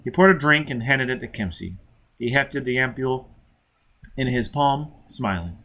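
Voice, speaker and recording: A man's voice: mid-range voice, expressive, moderate pace, pushed and bright. A man reading a novel aloud as dramatic scripted narration. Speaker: audiobook reader.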